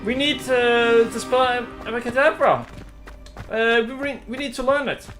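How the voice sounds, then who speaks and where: A man's voice: very high-pitched, normal speed, a bit bright. A man doing casual let's play commentary, rambling as he thinks aloud. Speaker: gaming streamer. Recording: faint game music behind.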